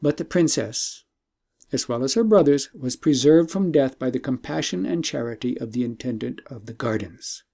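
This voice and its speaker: A man narrating an old tale as an audiobook in a measured, formal storytelling manner. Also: medium pitch, lively intonation, gravelly, mellow.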